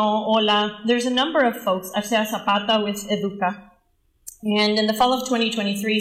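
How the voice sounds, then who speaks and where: A woman's voice: medium pitch, a bit bright. Woman, forties, from the Dominican Republic, speaking earnestly to a room; mid-range voice, echoey space. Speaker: nonprofit leader. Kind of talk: presentation talk.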